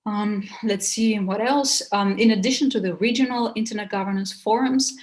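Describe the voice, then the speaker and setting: A woman giving an informal seminar presentation, speaking in a halting, hesitant way with many pauses. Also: medium pitch, a little mellow. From Bulgaria.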